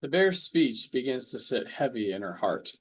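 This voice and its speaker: A man narrates a homemade radio drama table read. His mid-pitched voice keeps a plain, steady storybook reading style. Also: moderate pace.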